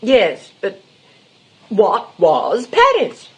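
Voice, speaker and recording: A woman's voice: medium pitch, huge pitch swings, extremely slow, heavily acted. An actress playing a vain, theatrical middle-aged mother and retired star in a period comedy, gushing and dramatic. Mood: vague, contrary. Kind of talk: period stage comedy radio acting.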